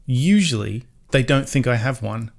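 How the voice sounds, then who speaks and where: Gravelly man with a mild Australian accent. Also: mid-range voice, normal speed. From Western Sydney, Australia. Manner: relaxed, self-mocking, trails off and restarts. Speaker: podcast co-host. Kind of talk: casual chat.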